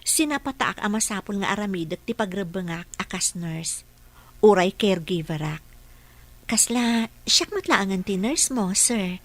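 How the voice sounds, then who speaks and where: A woman's voice: medium pitch, lively intonation, normal speed, a bit bright. A woman playing a dutiful, deferential caregiver and mother in an audio drama, speaking respectfully and earnestly.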